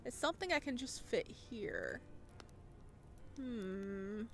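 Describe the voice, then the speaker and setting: A woman's voice: mid-range voice, huge pitch swings, takes it slowly, creaky, music in the background. A woman playing a visual novel, reading it aloud and chatting with reactions as she goes. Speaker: video game streamer.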